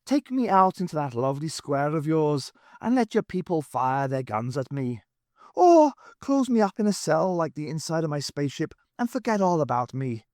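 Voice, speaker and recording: A man voicing a sorrowful, pleading Irish space traveller with a high, strained voice and an Irish accent. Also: very animated, moderate pace, darkish tone, no room sound, studio quality.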